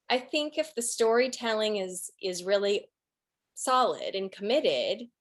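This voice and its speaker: A woman speaking casually as an interviewed audiobook narrator, telling anecdotes in an emphatic, halting, expressive way. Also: on the high side.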